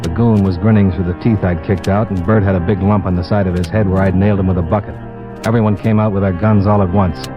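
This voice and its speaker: A vintage radio drama recording, muffled, with clear music underneath. A male actor plays a hard-boiled American investigator with a fairly low voice. He tells his case in a clipped, wry, dry way. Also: steady tone, quick pace, lightly acted. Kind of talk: 1950s radio detective narration.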